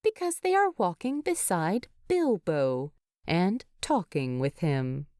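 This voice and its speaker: A woman narrates a story at an unhurried pace. Her pitch moves widely and expressively across phrases. The sound is dry, with very little room. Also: huge pitch swings, mellow, no echo. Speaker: narrator of fan fiction.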